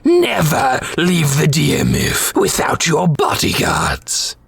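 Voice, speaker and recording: Sinister robot warlord, very husky gravelly rasp, swooping theatrical delivery, slow, mock-polite menace, fan dub. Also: male voice, fairly high pitch, huge pitch swings, a bit bright, dry recording, heavily acted. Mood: gloating menace. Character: sinister gloating male robot warlord villain. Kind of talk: scripted fan dub acting.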